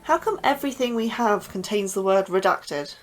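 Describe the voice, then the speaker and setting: A woman plays an anxious young astrobiologist, earnest and forever asking questions. Her voice sits at an ordinary middle pitch, in a sci-fi horror audio drama. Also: expressive, normal speed, faint music behind, close to an everyday voice.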